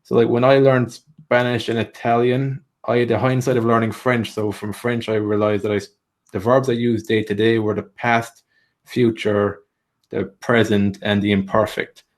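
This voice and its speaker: Low, flat-voiced male language trainer. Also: normal speed. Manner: steady explaining, frequent "so" and "like". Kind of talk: explaining tips in a live training session.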